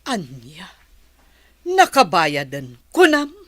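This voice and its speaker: A woman's voice: mid-range voice, wildly swooping pitch, slow, fairly bright tone, no room sound, heavily acted. A woman playing an indignant, proud mother of a poor farming family in a radio drama. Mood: indignant, worried.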